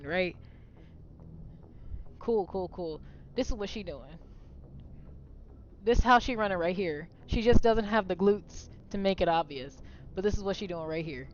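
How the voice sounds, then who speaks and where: Woman streamer goofing around, slangy n teasing, kinda muffled audio w music. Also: mid-range voice. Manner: playful, joking, slangy. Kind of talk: casual stream chat.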